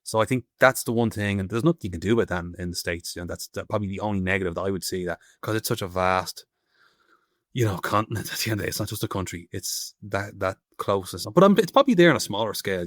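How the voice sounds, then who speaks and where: A man in his thirties with an Irish accent rattles along quickly, restarting and hunting for the right word. His voice is on the low side and the recording is dry and close. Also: lively intonation, dry recording. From Ireland. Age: 30s. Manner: rambling, searching for words, many restarts. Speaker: guest stone carver. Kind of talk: casual interview chat. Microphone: remote call.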